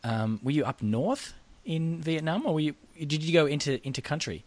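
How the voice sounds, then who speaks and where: A man's voice: on the high side, normal speed, no room sound. A man hosting a podcast, chatty and friendly as he interviews his guest. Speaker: podcast host.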